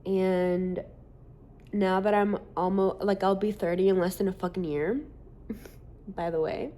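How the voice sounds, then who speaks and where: A woman in her late twenties chats straight to her audience in a loose, rambling way. She fills her talk with "like" and drops casual swear words. Her pitch sits in the middle of the usual range for a woman. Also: steady tone, a little slow, mellow. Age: late 20s. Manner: rambling, candid, sprinkled with swearing and "like". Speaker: social media creator. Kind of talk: casual vlog chat.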